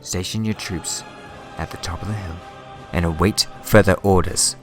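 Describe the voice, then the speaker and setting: An actor playing a sly time-travelling schemer in a sci-fi fan audio drama, teasing and mock-polite. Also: male voice, low-pitched, steady tone, normal speed, lightly acted. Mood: petty, commanding. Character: sly, smug, meddling male alien time-traveller schemer. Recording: loud music behind the voice. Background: dramatic music.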